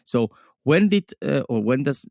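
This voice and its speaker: A man hosting a podcast interview, asking halting questions with fillers and giving short acknowledgments. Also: mid-range voice, animated, gravelly, warm tone, no room sound, clean studio sound. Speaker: podcast host.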